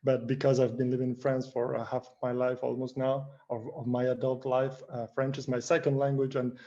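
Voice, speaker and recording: Man, careful panelist on a video call, mellow flat voice, muffled sound. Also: mid-range voice. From Argentina. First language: Spanish. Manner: careful, precise, with frequent "uh" pauses.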